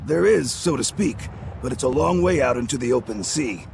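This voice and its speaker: An actor playing a rough, plain-spoken seafaring man in a video game. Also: mid-range voice, expressive, normal speed, noisy background. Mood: grave. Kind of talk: English video game dub acting.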